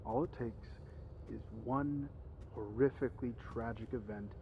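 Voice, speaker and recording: A man's voice: medium pitch, a little slow, narrow, tinny audio, slight background noise, lightly acted. Man acting bitter grieving villain, theatrical and accusing. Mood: brooding. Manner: accusatory, theatrical, rhetorical questioning. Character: grief-stricken, bitter male villain, father of a dead son. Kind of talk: dramatic audio drama acting.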